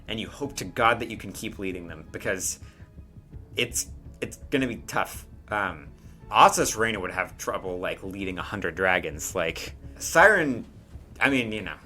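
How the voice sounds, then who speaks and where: A man with a fairly low voice and a bright, forward tone runs a tabletop role-playing game. He narrates off the cuff in a conversational style, often checking that his players follow. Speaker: game master.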